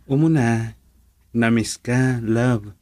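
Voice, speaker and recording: A man's voice: mid-range voice, steady tone, takes it slowly, a little mellow, dry recording, close to an everyday voice. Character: man acting earnest young boyfriend. Sound: dry. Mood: affectionate, teasing. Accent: Ilocano. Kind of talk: Ilocano romantic radio drama acting.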